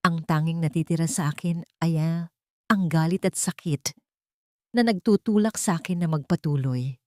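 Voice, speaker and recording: A woman's voice: low voice, moderate pace, darkish tone, no echo, studio quality, lightly acted. A woman playing a wounded, betrayed mother in an audio drama, grieving and determined to start over. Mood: pained, pleading. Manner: weak-voiced, pained but determined. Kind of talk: melodramatic audio drama dialogue.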